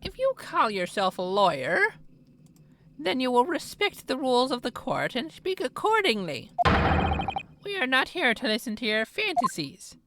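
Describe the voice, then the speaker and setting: A woman voicing an arrogant male prosecutor in a game, with a nasal, condescending voice, over loud game music. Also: high voice, sing-song, normal speed, a little hiss or hum, voice pushed up, heavily acted. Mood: haughty. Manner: haughty, condescending. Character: arrogant male prosecutor, adult, haughty and sneering.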